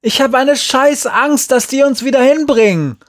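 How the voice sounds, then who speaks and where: A man voicing a hard-faced but frightened child in an audiobook, blunt, forceful and slangy. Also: mid-range voice, steady tone, a little slow, no echo, clean studio sound. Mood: furious, scared. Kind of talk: acted audiobook dialogue.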